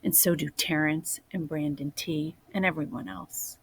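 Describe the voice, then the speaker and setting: A woman reading a children's picture book aloud in a deliberate way, as a teacher does for young listeners. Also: sits low, fairly even intonation, husky, no room sound. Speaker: storybook reader, teacher.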